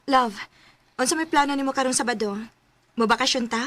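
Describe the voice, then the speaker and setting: A woman's voice: high voice, fairly quick, close to an everyday voice. A Cebuano actress playing a devoted, easily hurt young woman in a romantic radio drama, with an emotional, pleading manner. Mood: affectionate. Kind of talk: Filipino radio drama acting.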